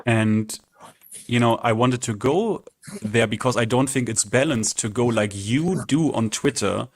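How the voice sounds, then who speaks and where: A man's voice: medium pitch, unhurried. A man arguing in an online debate, challenging and interjecting in a conversational way. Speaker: debate participant.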